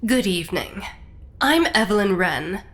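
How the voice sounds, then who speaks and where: Audio drama: actress as gracious manor lady, polished manners, animated melody. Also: mid-range voice, measured pace, a little hiss or hum. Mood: gracious, apologetic. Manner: courteous, smoothing, refined. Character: gracious, polished hostess-like lady dinner guest.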